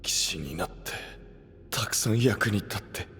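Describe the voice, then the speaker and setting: An actor playing a possessed young would-be knight, rough and brusque, desperate for approval, in an anime-style audio drama. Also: male voice, fairly low pitch, lively intonation, measured pace, fairly bright tone, slight background noise, full character voice. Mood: bitter longing. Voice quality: gravelly. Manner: rough, brusque masculine speech.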